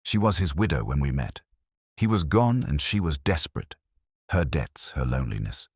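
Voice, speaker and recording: Mystery-story suspect, played by a male narrator: frightened, guilty, pleading man, very low pitch, slightly rough. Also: sing-song, normal speed, no room sound, studio quality, exaggerated voice. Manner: subdued, pleading.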